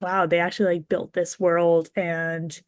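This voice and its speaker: A woman's voice: fairly low pitch, level tone, measured pace, dry recording. Woman chatting casually, dry close sound. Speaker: podcast panelist. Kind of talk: casual chat.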